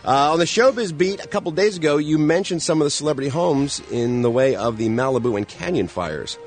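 A man's voice: on the high side, expressive, a little fast, soft background music. A man co-hosting a morning radio show, reading entertainment news with sarcastic, mock-outraged banter. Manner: sarcastic, mock-outraged, breaks into silly singing.

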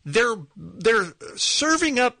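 Upbeat male morning DJ, lively delivery, bright slightly husky tone, dry booth. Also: very high voice, slow, no echo. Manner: upbeat, plugs and teases. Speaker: radio host. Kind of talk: morning radio banter. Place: radio studio.

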